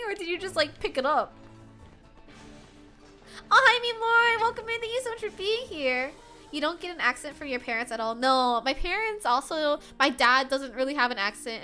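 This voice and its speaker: High bubbly american girl streamer, bright voice, giggles a lot, faint game music behind. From United States. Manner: giggly, animated, frequent bursts of laughter. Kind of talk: casual chat reading chat while gaming.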